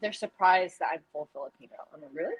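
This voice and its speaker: A woman's voice: fairly low pitch, fast, breathy edge, poor audio. A woman chatting casually as a podcast guest, informal and enthusiastic, peppering her sentences with "like". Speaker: podcast guest, political campaign volunteer leader. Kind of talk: casual chat.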